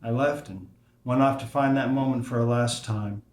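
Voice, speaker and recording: An actor playing a wistful, adventurous older father who speaks softly and reflectively in a table read. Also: medium pitch, fairly even intonation, normal speed, natural voice. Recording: roomy echo.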